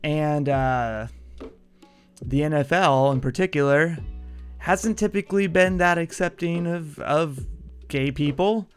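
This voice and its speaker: A man's voice: on the high side, takes it slowly, clean tone, soft background music. A man hosting a solo podcast, talking in a casual monologue that is punchy and combative, with free swearing.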